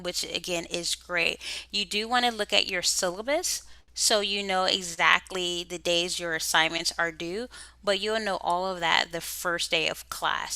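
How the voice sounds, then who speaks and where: A woman's voice: lowish voice, gravelly, sharp tone, clean tone, no room sound, poor audio. A woman losing her voice, giving an enthusiastic answer about online classes in a webinar. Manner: enthusiastic, upbeat.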